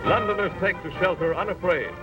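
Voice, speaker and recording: A male 1940s newsreel narrator with a fairly high voice, declaiming solemnly and dramatically. He sounds set back from the microphone on an old soundtrack, with loud music running behind him. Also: brisk, far from the mic, highs cut off.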